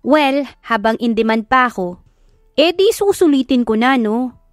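A woman with a Filipino accent voicing a bubbly, energetic young mascot performer in an audio drama. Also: a little high, normal speed, dry recording, clean studio sound, voice pushed up, natural voice. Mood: upbeat, determined. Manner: energetic, chirpy. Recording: background music under the voice.

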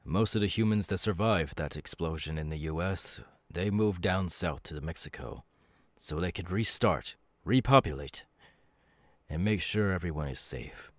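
Demon warrior, male actor, audio roleplay: low-pitched, knowing, calmly menacing, halting dramatic delivery, dry recording. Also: dry recording. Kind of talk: ASMR audio roleplay monologue.

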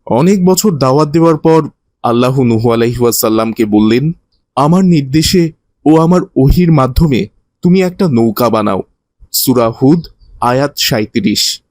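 A man's voice: mid-range voice, expressive, moderate pace, a little mellow, no room sound, lightly acted. A man playing a kindly, didactic teacher who tells moral religious stories to children in a cartoon. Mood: earnest, instructive. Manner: didactic, earnest.

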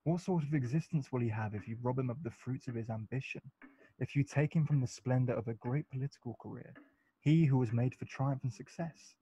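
Man as a mellow, husky English gentleman. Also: medium pitch, lo-fi sound, close to an everyday voice. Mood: earnest persuading. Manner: measured, articulate, dry. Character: witty, urbane English gentleman, unflappable son. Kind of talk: radio play acting.

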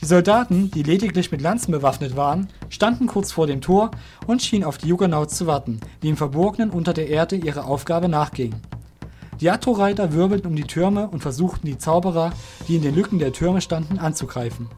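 A man's voice: fairly high pitch, animated, brisk, warm tone, faint music behind. A man narrating a fantasy audio drama from a script, in a storytelling style.

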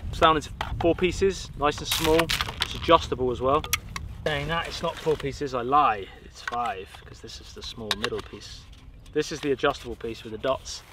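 A man in his thirties from the UK, chatting casually and excitedly to camera while setting up gear outdoors. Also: mid-range voice, normal speed. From United Kingdom. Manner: upbeat, chatty, slangy. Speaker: outdoor vlogger. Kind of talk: casual show-and-tell to camera. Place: windy pebble beach outdoors. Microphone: camera mic outdoors. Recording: wind noise on outdoor mic. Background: wind and shore ambience.

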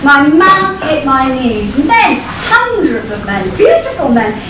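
Echoey, high-voiced Englishwoman reading a story onstage. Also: very animated, far from the mic. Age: middle-aged. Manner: wry, theatrical, deliberate phrasing. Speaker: writer reading their own short story. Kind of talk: live expressive storytelling reading. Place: small vaulted performance venue, on stage. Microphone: distant camera microphone. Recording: echoey stage recording from afar, noisy room. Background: live audience ambience.

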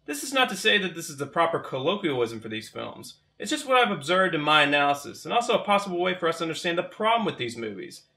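Young or canadian guy doing a scripted video essay, bright voice, quick and lively, bit of music behind. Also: a little high, creaky, husky. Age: young adult. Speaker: video essay host and critic.

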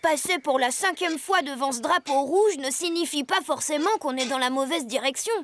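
A woman's voice: high-pitched, moderate pace, lightly acted. A woman voicing a brainy, pedantic, self-assured schoolchild in a cartoon, with precise delivery.

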